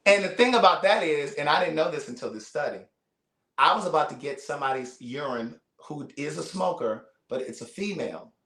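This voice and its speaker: A man with a somewhat high voice tells stories in an animated, playful way, wandering into asides as he goes. He hosts a casual conversation. The sound is roomy and echoey. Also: a little fast. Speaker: host. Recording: echoey room.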